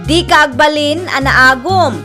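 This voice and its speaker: A woman's voice: high voice, unhurried. A woman with an Ilocano accent announcing a scripted outro for a radio drama. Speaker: programme announcer. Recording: loud children's-style music behind. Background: loud playful music.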